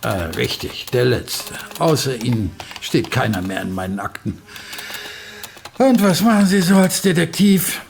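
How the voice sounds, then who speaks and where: An actor playing a stuffy, unfriendly government clerk in a radio play, speaking in a curt, sardonic, bureaucratic manner. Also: male voice, medium pitch, big pitch swings, unhurried, creaky, slight background noise. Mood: dryly sardonic.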